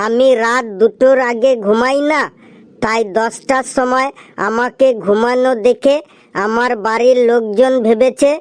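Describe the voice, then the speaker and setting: A cheeky cartoon pupil, acted by a woman, high and very clear, offers excuses with meek politeness. The sound is dry and close, like studio dialogue. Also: darkish tone, dry recording, lightly acted.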